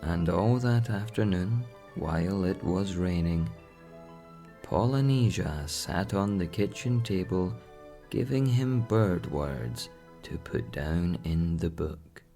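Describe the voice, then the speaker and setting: A man narrates a bedtime story extremely slowly in a low, creaky voice. His delivery is calm and soothing, with faint music underneath. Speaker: audiobook narrator.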